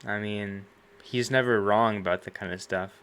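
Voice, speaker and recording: A young man co-hosting a casual chat show, easygoing and quick to laugh loudly, on a home streaming mic. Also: on the low side, fairly even intonation, clear, resonant tone. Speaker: podcast co-host. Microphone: home streaming microphone.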